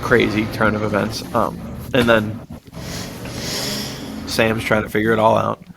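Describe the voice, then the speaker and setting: A man's voice: lowish voice, monotone, measured pace, creaky, noisy background. A man co-hosting a podcast, retelling film plots in a casual, enthusiastic and slangy way. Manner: enthusiastic, chuckles as he talks, slangy. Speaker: podcast co-host.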